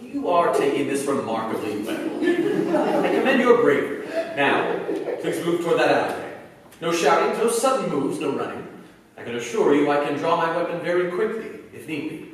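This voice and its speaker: A man's voice: a little high, big pitch swings, moderate pace. A man acting a courteous but menacing Nazi agent in a 1940s-style radio play, speaking formally and precisely. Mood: polite menace. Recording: echoey hall, distant mic, faint noise.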